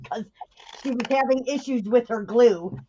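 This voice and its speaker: A woman's voice: on the high side, slow pace, mellow. A woman with a Chicago accent chatting casually on a craft stream, dragging out her words and laughing often. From Chicago, USA. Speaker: craft livestream guest. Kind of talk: casual chat. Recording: dull lo-fi stream audio with faint hiss.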